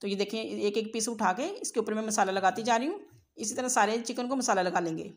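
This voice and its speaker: A woman's voice: medium pitch, quick pace. A woman narrates a cooking tutorial as a home cook, explaining step by step in an instructional way. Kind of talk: recipe tutorial voice-over.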